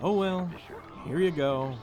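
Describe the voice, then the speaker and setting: A man playing an excitable, casual young friend at a party in an audio drama, talking in a loose, slangy, emphatic way. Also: high-pitched, slow pace, music in the background. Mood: sheepish, casual.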